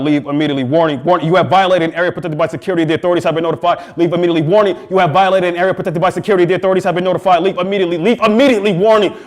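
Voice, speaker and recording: A man's voice: sits high, voice pushed up, natural voice. A man performing a relentless security alarm announcement as spoken-word poetry, urgent and repetitive. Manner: repetitive, rapid, urgent warning.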